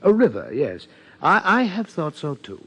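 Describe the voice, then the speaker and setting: An actor playing an earnest, hesitant psychiatrist in a 1950s radio drama, with formal, halting phrasing. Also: male voice, a little high, huge pitch swings, a bit bright, breathy edge, full character voice, poor audio. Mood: earnest, absorbed.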